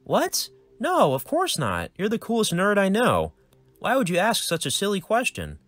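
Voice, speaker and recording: A man voicing an eager, excitable teenage boy in a homemade comedy skit, his voice pushed high. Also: normal speed, dry recording, faint music behind, exaggerated voice. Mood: surprised.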